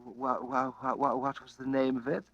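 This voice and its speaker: An actor playing a nervous, suspicious retired bank clerk, polite and hesitant, in an old-time radio thriller. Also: male voice, mid-range voice, level tone, moderate pace, a bit bright, dull audio. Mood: polite, uneasy.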